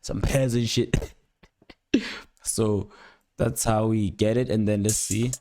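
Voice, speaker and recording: A young man giving a casual, unscripted music production tutorial, rambling playfully in slangy speech with excited interjections. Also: fairly low pitch, slow pace, dry recording. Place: indoor home studio at a computer. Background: loud instrumental beat and instrument sounds playing.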